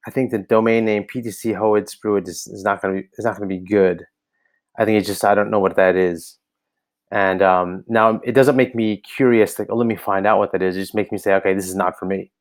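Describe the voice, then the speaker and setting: An American man giving casual spoken feedback on a website, hesitant and full of ums and restarts, recorded on a laptop camera indoors. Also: lowish voice. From United States. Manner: hesitant, many ums and restarts, thinking aloud. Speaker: website reviewer for coaches. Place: indoor room, seen on camera.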